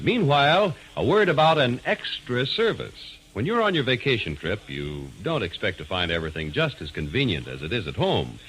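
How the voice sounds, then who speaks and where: A man's voice: medium pitch, a bit bright, slight background noise, poor audio. An American man announcing and narrating in a polished, emphatic mid-century radio style. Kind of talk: 1940s-50s scripted radio announcing and narration. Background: music.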